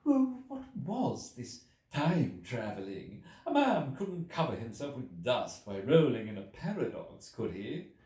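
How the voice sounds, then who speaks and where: A man's voice: mid-range voice, sing-song, a little slow, hardly any pauses, dark tone, voice pushed up. A man voicing a hilarious, scoffing newspaper editor in an audiobook, theatrical and teasing. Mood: scornful. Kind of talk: audiobook character voice. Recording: roomy echo, distant from mic.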